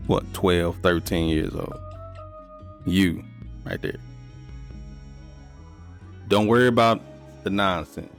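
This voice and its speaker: A man's voice: low-pitched, vocal fry. A man in his thirties co-hosting a podcast, chatting casually and giving blunt, profane life advice. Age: 30s. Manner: laid-back, profane, advice-giving storyteller. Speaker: podcast co-host. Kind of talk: casual chat.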